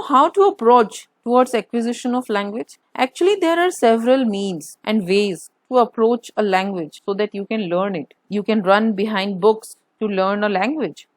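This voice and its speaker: Woman, fairly high voice, earnest teacher, dry close sound; good for an explainer. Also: no echo. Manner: earnest, asks and answers her own questions. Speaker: language teacher, channel host. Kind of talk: explanatory lesson to camera.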